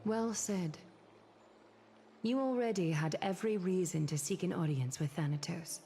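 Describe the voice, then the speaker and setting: An actress playing a regal, knowing noblewoman in a fantasy video game, speaking in a formal, poised and deliberate way. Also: lowish voice, mellow, slight background noise, lightly acted. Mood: probing, composed. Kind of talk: video game story acting.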